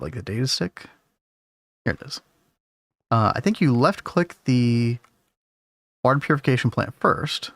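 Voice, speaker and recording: Guy streaming a game, kinda hoarse, chill rambly talk to chat, dry close sound. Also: mid-range voice, no room sound. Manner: rambling, easygoing, talks to chat. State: losing his voice. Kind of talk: casual gameplay commentary.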